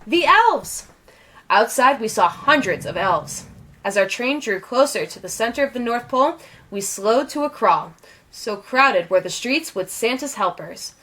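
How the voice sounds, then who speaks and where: A woman reading a children's storybook aloud in an expressive, dramatic and deliberate storytelling style. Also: on the high side, poor audio. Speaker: storybook reader.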